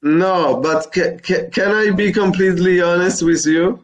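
A man's voice: high voice, measured pace. A man with an Italian accent, a football fan chatting casually and laughing often between hesitant words. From Italy. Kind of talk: casual chat.

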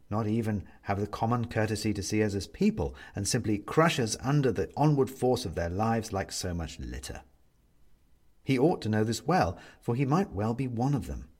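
A man narrating a literary story as an audiobook reader, measured and wry, with theatrical, deliberate phrasing. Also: on the low side, breathy edge.

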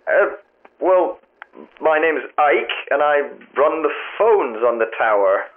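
A man's voice: on the high side, animated. Hesitant man on a phone line. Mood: flustered, surprised. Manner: halting, vague, literal-minded, politely bemused. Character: hesitant, affable, wry man who tests the tower phones. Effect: telephone filter. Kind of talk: naturalistic audio drama dialogue. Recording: thin phone-line sound, music underneath.

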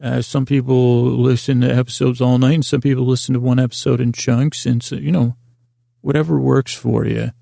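A man with a mellow, deeply creaky voice rambles through a bedtime podcast monologue. His delivery stays on a flat, narrow pitch while his sentences tangle and circle back with goofy wordplay. It is the talk of a host helping listeners drift off to sleep. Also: normal speed, no room sound, clean studio sound. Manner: meandering, tangled sentences that trail and double back.

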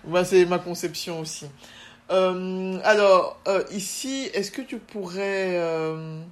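A woman hosting a podcast interview, asking questions in a relaxed, encouraging way with many fillers. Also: lowish voice, slow. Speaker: podcast host.

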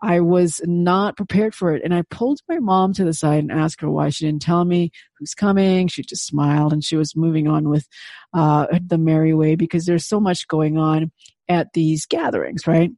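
A woman's voice: low voice, moderate pace, dark tone, no room sound, clean studio sound. A woman whose first language is Indonesian, telling a personal story in a reflective, conversational way with frequent "right?" asides.

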